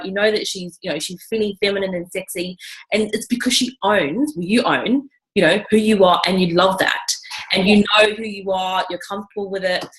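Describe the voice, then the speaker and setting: A woman hosts a casual video interview, enthusiastic and chatty, peppering her talk with "you know". Her voice sits at an ordinary middle pitch. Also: fairly bright tone, low-quality recording. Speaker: interview host.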